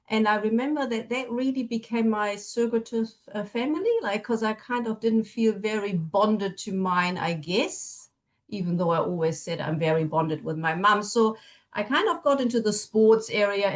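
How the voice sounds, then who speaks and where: Middle-aged woman with German accent. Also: medium pitch. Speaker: podcast guest, family constellation facilitator. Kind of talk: casual chat, personal storytelling.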